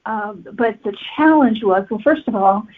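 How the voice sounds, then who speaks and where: A woman's voice: mid-range voice, lively intonation, moderate pace. A woman novelist being interviewed on a podcast, explaining her work in a conversational, matter-of-fact way. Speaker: guest novelist. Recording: tinny phone-like line.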